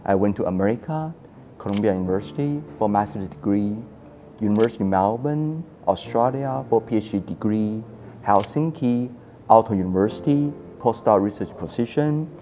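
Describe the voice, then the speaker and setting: A man's voice: lowish voice, expressive, normal speed, mellow, a little hiss or hum. A man in his forties from Taiwan, an architecture historian telling his story in a casual interview, acting out quoted dialogue and laughing often. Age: 40s.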